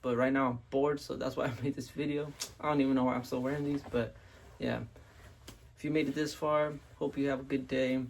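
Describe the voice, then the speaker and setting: A young man with a voice raspy from illness, chatting casually to viewers as he reviews and styles clothes at home. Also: mid-range voice, little pitch movement, a little fast, slight background noise. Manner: laid-back slangy talk to viewers. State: raspy from being sick. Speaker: fashion vlogger.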